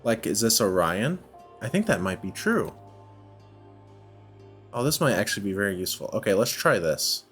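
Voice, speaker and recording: A man's voice: fairly low pitch, big pitch swings, moderate pace, long pauses, a bit bright. A man giving casual let's play commentary, thinking aloud and often questioning himself as he goes. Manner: musing, self-questioning. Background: background music.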